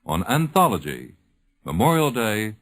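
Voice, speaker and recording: A man's voice: lowish voice, very animated, a little slow. An American man hosting and announcing a radio program in a scripted 1950s broadcast style. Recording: old radio broadcast, music behind.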